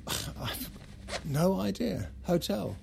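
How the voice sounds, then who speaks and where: A man's voice: sits high, big pitch swings, extremely slow, vocal fry, a little mellow, a little hiss or hum, heavily acted. An actor playing a weary, defiant, sardonic husband and father in a naturalistic audio drama. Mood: angry, resigned.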